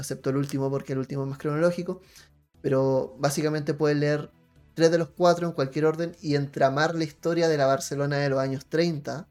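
A man's voice: fairly high pitch, a little mellow. A man chatting casually and enthusiastically as host of a live role-playing stream on a video call. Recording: music playing behind the voice.

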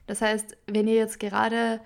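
A young woman with an Upper Austrian accent talks through her podcast in a loose, chatty way. Her pitch stays fairly level, and she fills pauses with small hesitations and drops in English words. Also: monotone, gravelly. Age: 20s. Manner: chatty, many fillers, mixes in English loanwords. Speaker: podcast host. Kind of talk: casual solo podcast talk.

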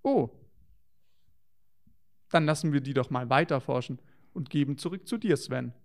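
Verspielter Moderator mit knarziger Stimme. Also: male voice, mid-range voice, animated, moderate pace. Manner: playful, wondering, child-directed. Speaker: children's show co-host. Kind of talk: scripted children's educational dialogue.

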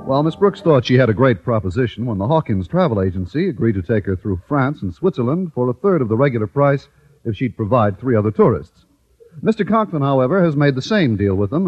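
A man's voice: mid-range voice, husky, dull audio. A man working as an old-time radio announcer, reading scripted advertising and narration with emphatic polish.

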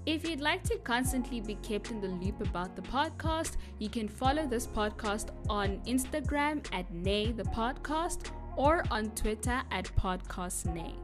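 A woman's voice: fairly high pitch, animated, unhurried, over music. Giggly South African woman podcaster, echoey. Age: young adult. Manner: chatty, giggly, with many likes and ums. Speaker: podcast host. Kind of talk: solo podcast answering listener assumptions. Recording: echoey room.

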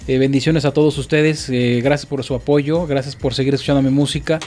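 A man, a musician guest, chatting casually on a radio show, halting with many fillers. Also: mid-range voice, slight background noise. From Mexico. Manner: relaxed, halting with frequent fillers.

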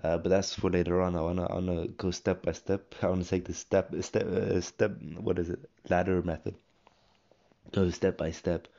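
A young man rambling casually about his day, self-correcting often, his voice croaky from a cold. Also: low voice, level tone, normal speed, dark tone. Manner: rambling, self-correcting, frequent ums and restarts. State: croaky voice from a cold, coughing and clearing throat. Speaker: vlogger. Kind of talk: casual personal audio diary. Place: at home. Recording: dull lo-fi recording.

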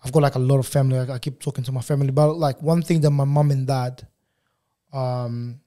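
A young man whose first language is Portuguese talks through his family's story in a relaxed, meandering way, full of "like" and restarts. His voice is mellow and dark, sitting at an ordinary pitch. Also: a little fast. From Angola, raised in Portugal. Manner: relaxed, rambling, many fillers and restarts. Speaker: guest photographer. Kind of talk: casual interview chat.

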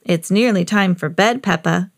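A woman voicing a gentle, patient, motherly pig in a children's storybook. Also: lowish voice, normal speed, creaky. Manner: patient, instructing.

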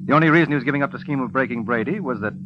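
An actor playing a tough, wry private detective in an old radio drama, sardonic and teasing. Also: male voice, mid-range voice, rapid-fire, natural voice. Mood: wry, explanatory. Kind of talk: 1950s radio drama acting. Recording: dull, noisy old radio broadcast.

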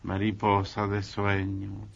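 Deep gravelly guy reading a poem super slow and flat, muffled old recording. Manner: drawn-out, monotone. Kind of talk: slow poetry recitation. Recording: dull, muffled archive recording.

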